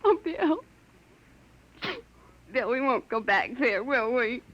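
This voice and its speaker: Frightened young wife, high voice, breathy, pleading, lo-fi 1950 radio recording. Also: female voice, expressive, slow, a bit bright. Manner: fearful, pleading. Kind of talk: 1950s radio drama acting. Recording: dull lo-fi 1950 radio broadcast with music.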